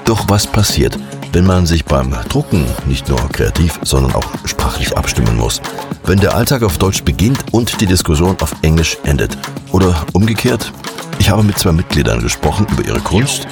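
A man working as a radio host, narrating and interviewing for a series. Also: extremely low pitch.

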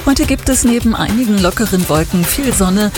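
A woman reading the radio news and weather in an even, measured studio delivery. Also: medium pitch, music in the background. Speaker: newsreader.

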